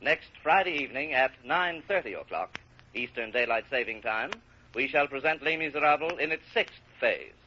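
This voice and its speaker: A man's voice: medium pitch, lively intonation, moderate pace, pushed and bright. A man announcing on the radio in scripted 1930s broadcast style. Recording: muffled, hissy old radio broadcast.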